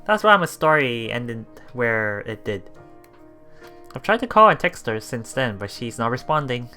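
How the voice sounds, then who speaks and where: A woman casually reading and reacting to a visual novel as a let's play streamer, giggly, excitable and expressive. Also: very deep voice, normal speed, faint music behind.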